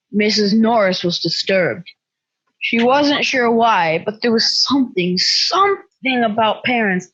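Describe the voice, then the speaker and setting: A woman narrating a suspense story as a measured storyteller, husky, in a dry, slightly dull recording. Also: medium pitch, no room sound.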